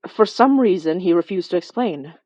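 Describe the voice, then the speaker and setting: An actress playing a compassionate supernatural investigator, narrating a horror audio drama in an introspective, wryly understated way. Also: female voice, lowish voice, animated, normal speed, mellow, dry recording, muffled recording, natural voice. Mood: somber, intent. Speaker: narrator and lead character.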